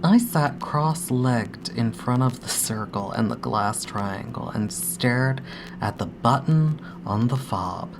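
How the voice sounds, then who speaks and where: A woman acting a grieving, haunted daughter in an audio drama monologue, speaking intimately and haltingly with hushed, breathy dips. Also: very deep voice, animated, background noise, exaggerated voice. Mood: hesitant, haunted. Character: grieving adult daughter, anxious, haunted, longing for her dead father.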